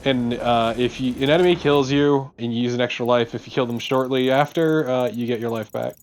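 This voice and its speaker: A man's voice: medium pitch, brisk, poor audio. A man co-commentating on a game in casual chat with friends. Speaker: let's play co-commentator. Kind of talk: casual chat over gameplay.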